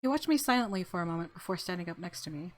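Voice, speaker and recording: A woman's voice: fairly low pitch, expressive, rapid-fire. A woman hosting a let's play, reading visual novel narration aloud with casual commentary. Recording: music clearly audible behind voice. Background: game music.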